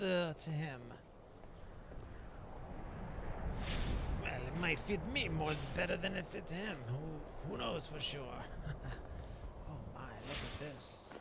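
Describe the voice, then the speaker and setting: A man playing a genteel, self-satisfied dark elf shaman in a game roleplay, musing haltingly in old-fashioned, formal words over a noisy background. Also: a little high. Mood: invigorated, pleased, then musing, curious. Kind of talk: voiced roleplay narration of gameplay.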